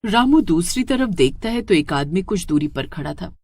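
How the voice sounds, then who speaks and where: A woman's voice: fairly low pitch, lively intonation, normal speed, darkish tone, breathy edge. A woman narrates a scripted horror story in a dramatic, suspenseful way.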